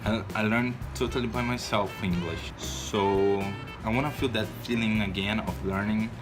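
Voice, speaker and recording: A man's voice: on the low side, normal speed, music in the background. A man in his twenties from Brazil, speaking English with a Brazilian Portuguese accent in a casual personal vlog, hesitant and shy, with frequent restarts. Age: 20s. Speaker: vlogger.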